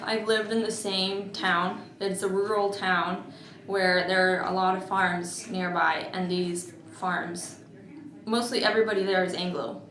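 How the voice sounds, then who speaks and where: A woman in her twenties talks slowly and deliberately, pausing often as she gathers her thoughts. She is recorded in an echoey room. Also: mid-range voice, a bit bright. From Mexico, raised in Oregon. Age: 20s. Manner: slow and thoughtful, with frequent pauses and fillers. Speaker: interviewee. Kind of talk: oral history interview.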